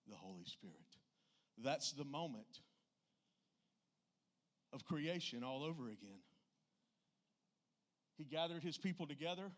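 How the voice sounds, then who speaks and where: A man with a Southern US accent preaching a church sermon in a folksy, plainspoken and emphatic way. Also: on the high side.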